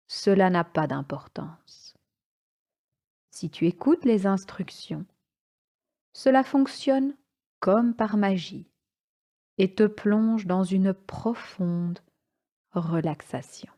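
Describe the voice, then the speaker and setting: Female meditation guide, soft mellow tone, very slow and calm delivery, short phrases with spacious pauses. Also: mid-range voice. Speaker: yoga nidra instructor.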